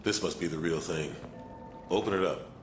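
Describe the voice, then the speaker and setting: An actor playing a gruff, unhurried army squad leader in video game dialogue. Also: male voice, deep voice, loud music behind the voice, close to an everyday voice. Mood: steady. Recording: very noisy battlefield ambience.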